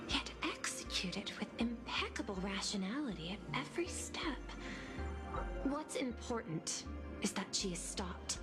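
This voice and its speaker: A woman playing a composed, formal administrator in a video game, speaking in a measured, analytical way. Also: medium pitch, natural voice. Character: composed, formal female administrator of a threatened homeworld. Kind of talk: scripted video game dialogue.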